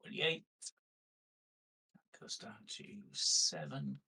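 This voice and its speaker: A man's voice: extremely low pitch, huge pitch swings, slow, gravelly, pushed and bright, husky. A man chatting casually as a player in a tabletop game.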